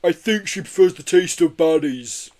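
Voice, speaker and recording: A man's voice: fairly high pitch, animated, normal speed, warm tone, pitched above the performer's own voice, lightly acted. A man voicing a friendly but defiant yeti from a children's story, hearty and emphatic. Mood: cheerful.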